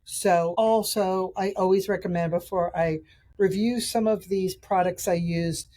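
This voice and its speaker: Middle-aged woman host, a cold has made her raspy. Lowish, warm voice, chatty and rambling, recorded outdoors. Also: steady tone, measured pace. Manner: chatty, rambling, with restarts and fillers. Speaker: podcast host and nutrition practitioner. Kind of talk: casual solo podcast talk reviewing products.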